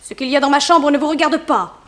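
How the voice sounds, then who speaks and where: A high-pitched young maidservant in a 1960s radio detective drama. She is brazen and lovesick, with a pert, defiant edge. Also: quick pace, fairly bright tone, slight background noise. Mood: insolent.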